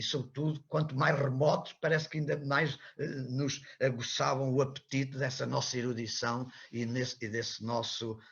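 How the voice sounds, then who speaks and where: Husky older professor, halting and erudite. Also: male voice, medium pitch, a bit bright, muffled recording. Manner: halting, frequently hesitant, with repeated words and erudite, long-winded phrasing. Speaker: professor and author speaking at an academic seminar. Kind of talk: academic talk, semi-improvised lecture.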